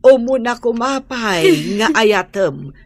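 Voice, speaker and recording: A husky, exasperated Ilocano mother for radio drama. She speaks slowly and her pitch swings widely as she pleads and scolds, in a dry, close recording. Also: sing-song, no echo, heavily acted. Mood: exasperated, hurt. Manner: pleading, admonishing. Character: worried, exasperated mother scolding her heartbroken grown daughter.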